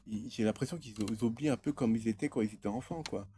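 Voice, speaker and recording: A man's voice: on the low side, fast talker, mellow, a little hiss or hum. A man in his thirties from France, talking in a rambling, hesitant personal monologue full of fillers and restarts. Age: 30s. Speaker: vlogger.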